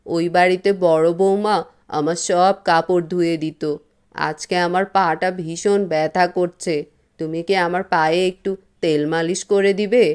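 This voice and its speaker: A woman's voice: lowish voice, fairly even intonation, normal speed, darkish tone, clean tone, lightly acted. Woman playing a plaintive, stubborn old cartoon mother. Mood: puzzled, plaintive. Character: elderly mother, fussy about food, self-pitying and stubborn. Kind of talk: cartoon voice acting.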